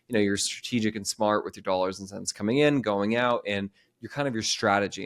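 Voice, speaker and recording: Fast-talking man in his thirties. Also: fairly low pitch. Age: 30s. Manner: quick, rambling and animated, with many restarts. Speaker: podcast host. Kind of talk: casual interview chat.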